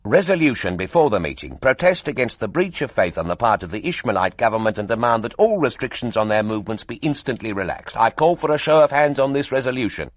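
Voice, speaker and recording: A man doing an exasperated chairman shouting order. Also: mid-range voice, sing-song, fast, few pauses, dry recording, voice pushed up. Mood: formal. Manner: loud, exasperated, formal. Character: harried male press chairman calling for order.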